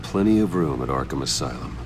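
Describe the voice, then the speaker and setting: An actor playing a brooding masked male vigilante detective in a game drama, terse, grave and controlled, with a gravelly voice. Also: expressive, fairly quick. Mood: matter-of-fact. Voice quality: very deep, gravelly. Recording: clear background noise.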